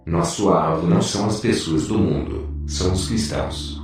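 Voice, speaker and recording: A man playing a sinister, scheming demon in a dramatized religious testimony, speaking in a menacing, deliberate way. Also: low-pitched, expressive, a little mellow, slight background noise. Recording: distant, heavily echoing, music behind.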